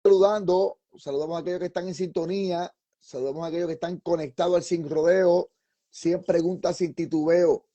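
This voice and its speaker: A man's voice: on the high side, steady tone, a little fast, dark tone, lo-fi sound. A man who preaches fervently with repeated praise phrases, then hosts an interview as a pastor.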